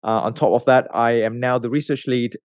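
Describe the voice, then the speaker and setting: A man in his thirties from Malaysia, a research scientist telling his career story in a relaxed way, with many fillers and restarts. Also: mid-range voice, fairly quick, smooth, dry recording, studio quality. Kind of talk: conversational interview answers.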